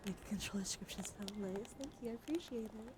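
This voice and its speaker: A woman hosting an ASMR livestream, speaking softly and deliberately, often slipping into whispers and gently repeating phrases. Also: mid-range voice. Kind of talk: ASMR, slow soft talk to live chat. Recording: clearly audible background noise.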